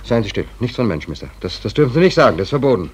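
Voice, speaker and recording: An actor playing a jobless, stubborn, weary sailor in a 1940s German radio play, speaking in clipped colloquial phrases. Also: male voice, medium pitch, sing-song, racing, husky. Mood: agitated, indignant. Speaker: ship's stoker.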